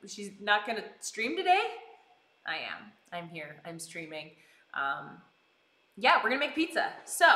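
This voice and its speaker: A woman's voice: fairly high pitch, sharp tone. A bubbly, giggly woman chatting casually with live viewers as she hosts a stream, full of exclaimed greetings. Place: indoors in a kitchen. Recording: roomy, echoing indoor sound.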